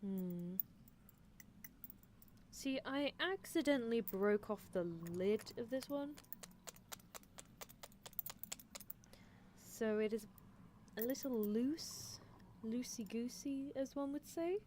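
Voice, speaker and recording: A woman's voice: a little high, slow pace, pauses often, a little mellow, a little hiss or hum. A woman voicing a playfully menacing, teasing female mad scientist in a roleplay ASMR stream, musing theatrically. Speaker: livestreamer. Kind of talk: roleplay ASMR livestream, answering chat in character.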